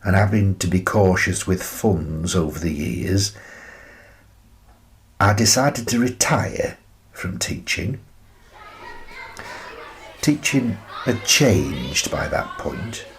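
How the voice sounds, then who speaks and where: Slow, low-voiced wistful older man, played by an actor. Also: lively intonation, exaggerated voice. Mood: reflective. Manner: reflective, measured, pausing between phrases. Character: wistful retired teacher, gentle ageing husband and grandfather. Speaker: actor in a radio play. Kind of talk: dramatic monologue, radio play storytelling.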